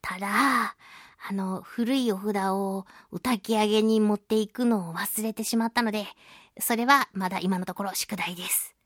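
A woman hosting a solo radio talk show, reading listener mail in a playful, giggly, animated manner. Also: medium pitch, moderate pace, dry recording, clean studio sound. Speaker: radio host, voice actress.

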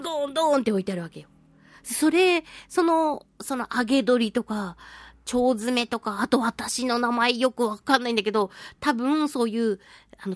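A woman's voice: a little high, no echo, clean studio sound. A woman hosting a solo talk show, chatting casually and telling stories in a playful, giggly manner with sound-effect words. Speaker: radio show host, voice actress.

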